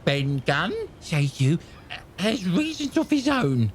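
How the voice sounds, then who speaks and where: A man's voice: on the high side, big pitch swings, takes it slowly, a little hiss or hum. An actor playing a half-crazed old male castaway who stammers, rambles and repeats himself. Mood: wheedling. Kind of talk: radio drama acting.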